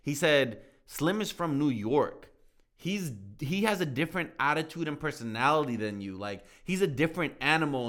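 Podcast host guy in his thirties, bright clear voice, earnest and preachy. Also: medium pitch. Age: 30s. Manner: earnest, motivational storytelling. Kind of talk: casual podcast chat.

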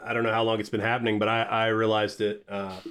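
A man co-hosting a casual music podcast, speaking with excitement, swearing casually and saying "like" constantly. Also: on the low side, fast, clean tone. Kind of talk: casual chat.

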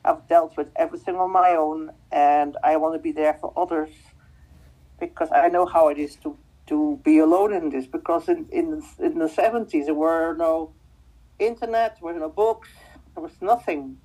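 Brief: female, fifties, Flemish Belgian accent, native Dutch speaker, slightly low pitch, halting conversational interview guest who repeats words. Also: fairly even intonation, mellow, poor audio. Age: 50s. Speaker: guest, spiritual medium.

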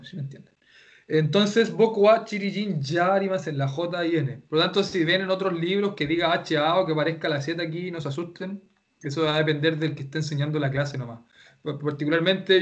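A man teaches a Japanese class online, patiently explaining and restarting his sentences. His voice sits a little high, and the audio is muffled and lo-fi, with keyboard typing in the background. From Chile. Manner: explains patiently, repeats words, many restarts.

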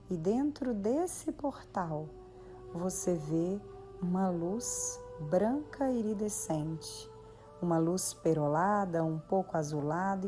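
A Brazilian woman leading a guided meditation in a soothing, measured way with long pauses. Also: medium pitch, warm tone. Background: background music.

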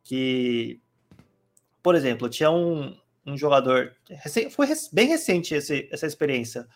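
A man chatting calmly on a podcast, explaining card game rules with many fillers. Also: medium pitch, measured pace. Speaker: card game player guest. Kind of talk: casual podcast chat.

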